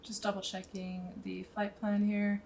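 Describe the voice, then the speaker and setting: A woman's voice: mid-range voice, completely flat delivery, unhurried, vocal fry, a little mellow, a little hiss or hum. A woman in her thirties giving a casual tutorial as a pilot and video host, thinking aloud as she works, close to a microphone in a small room. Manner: informal, thinking aloud while working. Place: small indoor room at home. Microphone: boom-arm microphone close up, headset worn.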